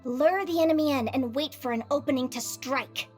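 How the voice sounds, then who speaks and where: A woman acting as an upbeat, self-assured young advisor in a video game, speaking in a friendly, expressive, conversational way. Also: sits high, normal speed, faint music behind, natural voice. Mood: focused.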